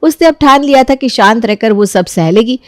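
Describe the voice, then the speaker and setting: A woman's voice: fairly high pitch, lively intonation, moderate pace, no echo, clean studio sound. A woman narrating a scripted story as a storyteller.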